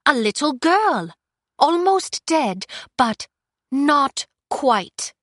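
A woman reading aloud, voicing a hoarse but defiant young woman prisoner. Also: sits high, sing-song, extremely slow, fairly bright tone, no room sound, clean studio sound, voice pushed up, full character voice. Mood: defiant, raised.

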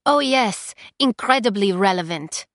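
A woman reading aloud, voicing a hoarse but defiant young woman prisoner. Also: medium pitch, animated, unhurried, fairly bright tone, dry recording, studio quality. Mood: defiant, raised.

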